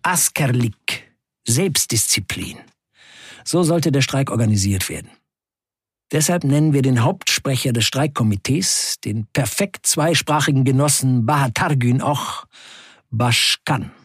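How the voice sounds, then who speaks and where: Husky, defiant striking worker, played by a man. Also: medium pitch, animated, a little slow, no echo, studio quality. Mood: resolute, reporting. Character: defiant striking 1970s factory worker, a man recounting events. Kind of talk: dramatic first-person radio play narration.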